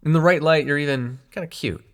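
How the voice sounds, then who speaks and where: A man playing a snarky but secretly soft-hearted young demon prince in an intimate audio roleplay, teasing the listener and turning hesitant when showing affection. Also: fairly high pitch, brisk, lightly acted. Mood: teasing, fond.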